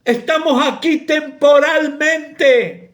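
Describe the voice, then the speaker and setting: A male preacher with an Argentine accent and a strikingly high voice. He delivers his sermon slowly and deliberately, rebuking his listeners and often rising into fervent shouts. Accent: Argentine Spanish. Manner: impassioned, rebuking, bursts into shouting. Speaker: pastor.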